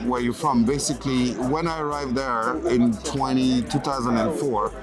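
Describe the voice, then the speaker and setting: A man's voice: mid-range voice, fairly even intonation, normal speed. A man from Cameroon, a company founder being interviewed, talking freely and often checking in with "right?" and "you know". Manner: talkative, fluent, leans on "right?" and "you know". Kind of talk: casual interview. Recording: loud music behind the voice.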